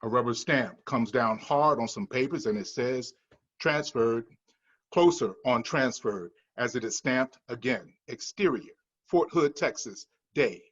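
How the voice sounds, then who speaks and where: A man's voice: mid-range voice, unhurried, a bit bright, dull audio. A man reading the stage directions of a screenplay at a table read in a matter-of-fact manner.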